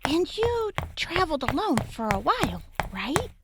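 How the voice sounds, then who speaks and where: A woman's voice: high-pitched, big pitch swings, moderate pace, very noisy. An actress playing a bookish, sarcastic, blunt young woman on the run in an audio drama. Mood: skeptical. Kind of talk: scripted post-apocalyptic audio drama acting.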